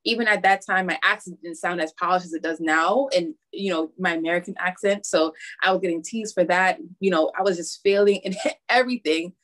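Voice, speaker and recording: Woman from harlem, math teacher, lowish voice, candid, lots of ums, casual interview. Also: a little fast. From Harlem, New York. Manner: candid, with hesitant fillers and soft laughs.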